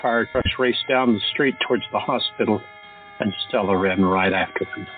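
Deep-ish male drama narrator. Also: moderate pace, narrow, tinny audio, faint music behind. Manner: steady, dramatic storytelling. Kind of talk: scripted audio drama narration.

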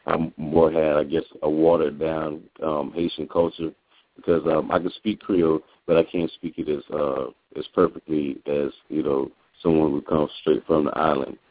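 American male co-host with a very deep voice, halting casual delivery, dry tinny phone audio. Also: level tone, no echo. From United States. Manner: halting, filler-heavy storytelling. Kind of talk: casual call-in radio talk.

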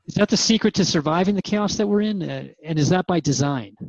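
A man's voice: high-pitched, a little fast, darkish tone, no echo. Dry, slightly muffled male radio host. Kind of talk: hosted radio interview program.

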